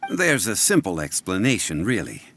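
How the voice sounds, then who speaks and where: An actor playing a calm, erudite gentleman in a fantasy game, speaking in a measured, courteous manner. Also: low-pitched, slight background noise, exaggerated voice. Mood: composed. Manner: measured, polite, composed. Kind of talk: video game voice acting.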